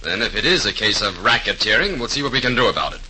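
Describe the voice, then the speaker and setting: A confident police detective in a vintage 1930s radio drama. He speaks briskly and with authority in a very bright, penetrating voice, over faint recording hiss. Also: fairly low pitch, sing-song, full character voice, rough recording. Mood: assured, explaining. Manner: brisk, assured, tough-talking explainer. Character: confident, no-nonsense male police detective sergeant.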